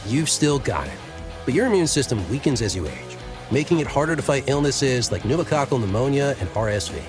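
A man's voice: medium pitch, big pitch swings, fairly quick. Lilting male voice-over with guitar. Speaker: ad narrator. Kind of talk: scripted ad voice-over.

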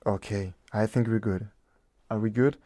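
A man's voice: fairly low pitch, fairly even intonation, a little slow, mellow. A young man hosting a live radio show in a studio, talking in a loose, rambling, easygoing way. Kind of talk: casual live radio chat. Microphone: studio mic, wearing headphones.

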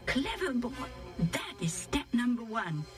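A woman storyteller acts out a middle-aged mother in a storybook tale. She is fond, coaxing and quietly scheming, with emphatic delivery over faint music. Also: on the high side, big pitch swings, slow pace, voice pushed up. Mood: assured, scheming. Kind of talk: acted Bible storybook dialogue.

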